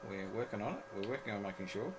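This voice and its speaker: A man's voice: fairly low pitch, sing-song, rapid-fire, very noisy. A man hosting an informal live technical show-and-tell for hobbyists, often repeating his words and phrases. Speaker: host of an amateur radio club presentation.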